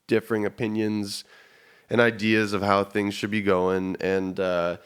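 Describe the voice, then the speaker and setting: A man's voice: on the low side, little pitch movement, unhurried, smooth. A man in his thirties, a musician guest, chatting casually and reflectively on a podcast. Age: 30s. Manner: laid-back and reflective, swearing.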